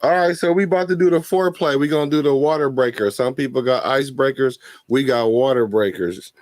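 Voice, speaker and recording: A man hosting a casual late-night chat, laid-back and joking in a relaxed, slangy way. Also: medium pitch, fairly quick, dry recording. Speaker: host.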